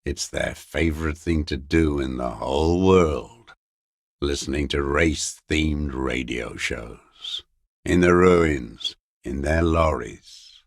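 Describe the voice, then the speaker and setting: Bitter, very deep-voiced old deserter. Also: male voice, vocal fry, fairly bright tone, dry recording, studio quality, full character voice. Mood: bitter, brooding. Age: older. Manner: contemptuous, ranting, brooding. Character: bitter old deserter, die-hard communist soldier, male. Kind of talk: video game character dialogue.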